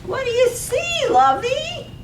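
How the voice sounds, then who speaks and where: Woman as a very slow, swooping nosy housewife. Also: wildly swooping pitch, heavily acted. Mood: eager. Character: gossipy, nosy village housewife, eager for scandal. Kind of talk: stage reading of poetic radio drama. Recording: echoey room, distant mic, faint rumble.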